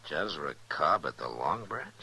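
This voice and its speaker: An actor playing a steady, plain-spoken frontier marshal with an American accent and a laconic, firm manner, in old-time radio western style. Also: male voice, very deep voice, unhurried, breathy edge, full character voice. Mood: mild, inquiring. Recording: noisy old radio recording.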